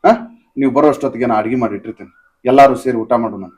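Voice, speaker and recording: A man doing a stern caring father, firm, quick-talking. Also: medium pitch, darkish tone, natural voice.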